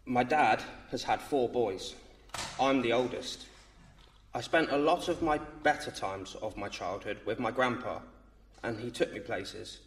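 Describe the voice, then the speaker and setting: A man's voice: mid-range voice, measured pace, recorded from a distance, slight background noise. A man in his thirties from England, nervously reading his baptism testimony aloud. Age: 30s. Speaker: baptism candidate. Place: church. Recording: roomy church sound from off mic.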